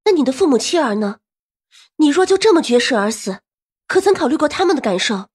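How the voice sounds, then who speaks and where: An actress playing an earnest, composed young woman general in a costume audio drama, calmly persuasive. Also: sits high, fairly quick. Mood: concerned, pressing.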